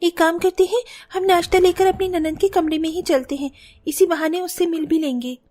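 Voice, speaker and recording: Casting: female actor as kind young cartoon bhabhi, very high voice, earnest delivery. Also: fairly even intonation, normal speed, darkish tone. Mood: caring. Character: kind, protective young sisters-in-law and their gentle young student sister-in-law. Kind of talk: Hindi cartoon story acting.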